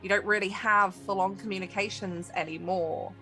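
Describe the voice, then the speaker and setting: A woman's voice: medium pitch, fairly even intonation, normal speed, sharp tone, faint music behind, low-quality recording. A woman narrating a tabletop game as game master, in a conversational, explanatory way.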